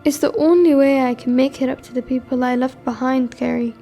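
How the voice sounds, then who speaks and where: A woman's voice: sits high, fairly even intonation, fairly quick, dark tone, faint music behind. A woman playing a guilt-ridden but resolute teenage war refugee in an amateur radio drama. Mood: guilty, resolute.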